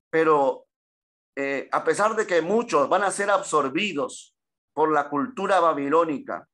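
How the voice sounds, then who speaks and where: Fervent Peruvian-born church teacher, higher voice. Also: male voice, a bit bright. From Peru. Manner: peppers speech with "gloria al nombre del Señor" and "amén"; addresses listeners as "hermano". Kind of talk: Bible class teaching.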